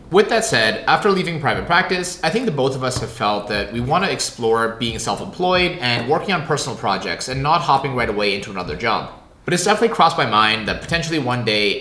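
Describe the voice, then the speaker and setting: Lively fast-talking man, bright voice. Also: mid-range voice. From Canada. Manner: measured, explanatory, reflective. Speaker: vlog co-host, former lawyer. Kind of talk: casual Q&A vlog talk. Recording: echoey room with background music.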